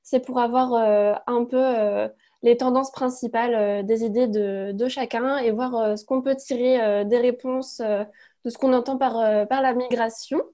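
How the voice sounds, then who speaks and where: Mellow woman hosting a webinar quiz. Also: fairly high pitch. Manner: hesitant, many fillers. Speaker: co-facilitator running a quiz. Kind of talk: webinar presentation. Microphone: video call.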